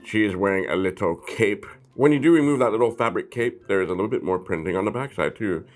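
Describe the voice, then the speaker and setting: A man's voice: on the low side, fast talker. A man hosting a casual, chatty product review, talking informally and playfully straight to his viewers. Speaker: host of a brick-set review channel.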